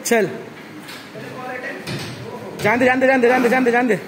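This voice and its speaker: A young man vlogging casually at a gym, loudly egging his friends on through their lifts. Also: very high voice, fairly even intonation, a little slow, crowd noise. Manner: loud cheering and egging on friends. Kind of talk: casual gym vlog chat. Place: indoor gym, later outdoors in rain. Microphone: handheld phone camera. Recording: noisy phone recording.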